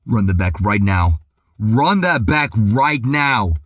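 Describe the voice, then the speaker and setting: A man doing excited reaction commentary, clearing his throat as he recovers from being sick and saying dude and bro a lot. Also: on the low side, slow pace, dry recording, studio quality. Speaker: music reaction YouTuber.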